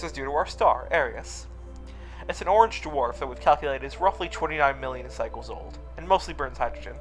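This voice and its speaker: A man's voice: mid-range voice, fairly bright tone, lightly acted. Casting: man as genial furry alien scientist, visual novel, precise and courteous explainer, music behind. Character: cheerful, scholarly furry alien scientist, male doctor. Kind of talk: visual novel character reading. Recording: music under the voice.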